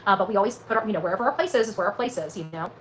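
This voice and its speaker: A woman talks very quickly, as if to her followers, rambling through a personal story. Her manner is folksy and emphatic, full of colloquial turns. The room is echoey, with faint noise behind her. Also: medium pitch. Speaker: vlogger recounting own experience. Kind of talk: casual rambling storytelling to viewers.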